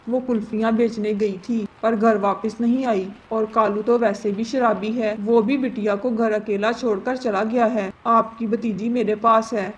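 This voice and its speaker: A woman's voice: a little high, close to an everyday voice, low-quality recording. A woman voicing a nosy but concerned female crow neighbour in a Hindi cartoon, blunt and earnest.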